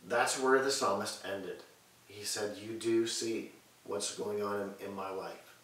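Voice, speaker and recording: Earnest pastor in an echoey room. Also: male voice, fairly low pitch, fairly even intonation, a bit bright, distant mic. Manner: earnest, measured, with repeated phrases and pauses. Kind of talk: sermon and prayer. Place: living room, seated on a sofa. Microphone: far camera mic. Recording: echoey room audio with faint hiss.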